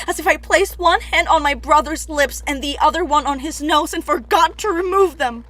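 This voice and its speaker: A woman playing an anguished, guilt-ridden young princess in a poetic stage tragedy, intense and rhetorical. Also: high-pitched. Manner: intense, rhetorical, swinging between outcry and hush. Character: anguished young Cretan princess racked with guilt over brother's death.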